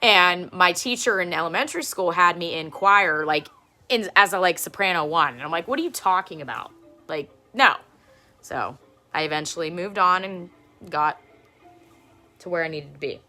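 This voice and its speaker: Chatty woman with a bright, low voice. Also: normal speed, soft background music. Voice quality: low speaking voice. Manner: chatty, rambling, laughs often. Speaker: live stream host. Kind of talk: casual live-stream show and tell.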